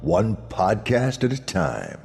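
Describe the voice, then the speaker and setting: A man with a lowish, very animated voice reads a scripted podcast promo as its announcer. His voice rings with long reverb over a faint music bed. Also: slow pace, creaky.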